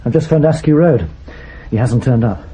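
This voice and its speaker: An actor playing a polite, deferential junior officer in a radio spy drama, with a husky, clipped delivery. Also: male voice, mid-range voice, animated, normal speed, warm tone, rough recording. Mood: dutiful.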